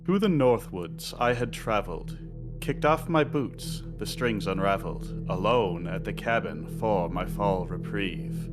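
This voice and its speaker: A man reciting a rhyming horror poem in a measured, rhythmic storytelling manner. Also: on the low side, lively intonation. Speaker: poet reading own work. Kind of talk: dramatic spoken-word horror poem recitation. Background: music track.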